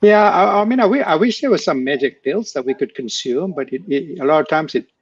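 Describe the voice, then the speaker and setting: A man speaks in a measured, halting way, dotting his answers with fillers. His voice sits at an ordinary pitch. The recording is dry and a little dull, like a close mic with narrow sound. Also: lively intonation, slightly rough, dry recording. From Malaysia. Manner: measured, hesitant with many fillers. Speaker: guest, former startup executive. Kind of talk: conversational interview answer.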